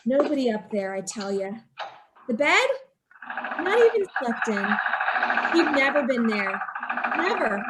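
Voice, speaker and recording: Actress as distraught wife, high voice, drawn-out delivery, secretive, haunted, old-time radio horror, emphatic repetition. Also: female voice, big pitch swings, heavy background noise. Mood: hushed dread. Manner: dramatic, emphatic repetition, haunted confessional telling.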